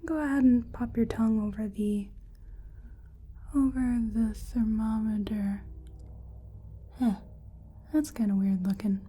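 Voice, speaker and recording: A woman speaking softly in a breathy near-whisper, gently musing and hesitating through an unhurried ASMR medical exam roleplay. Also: a little high, fairly even intonation. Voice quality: soft, breathy, often whispering. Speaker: ASMR performer playing a researcher examining a creature.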